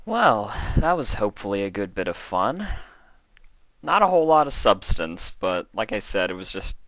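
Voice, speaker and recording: A man giving a casual, low-key sign-off to his listeners as a fan fiction reader. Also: big pitch swings, moderate pace, a bit bright, dry recording.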